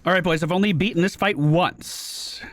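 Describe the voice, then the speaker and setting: A man's voice: high-pitched, moderate pace, a little hiss or hum. A man streaming gameplay with casual, sweary live commentary, often repeating short phrases. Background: loud game music.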